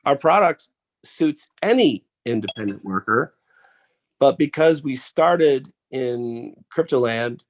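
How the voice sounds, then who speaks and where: A man's voice: medium pitch, expressive, takes it slowly. Congested male founder, off-camera call presenter, chatty and loose with frequent restarts. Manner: chatty, rambling, with many fillers and restarts. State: congested head cold. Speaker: founder and chief executive presenting to members. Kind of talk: casual online presentation. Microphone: off camera, video call mic.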